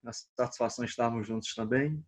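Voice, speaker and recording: A man, a pastor, explaining ideas in a measured way and restating his terms in a panel discussion. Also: mid-range voice.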